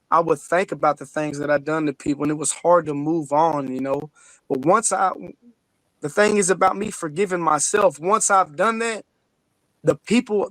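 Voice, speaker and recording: Plainspoken man, fairly high voice, dry recording. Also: a bit bright, dry recording, low-quality recording. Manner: plainspoken, colloquial. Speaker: podcast guest. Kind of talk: reflective personal testimony in conversation.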